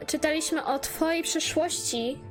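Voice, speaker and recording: High-voiced woman doing gaming commentary over music. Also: moderate pace. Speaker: gaming YouTuber. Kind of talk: casual playthrough commentary.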